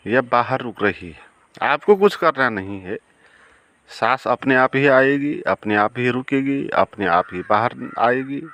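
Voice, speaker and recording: A man guiding a meditation in a calm, unhurried way, with long pauses between short phrases. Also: mid-range voice. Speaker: meditation guide. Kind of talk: guided meditation.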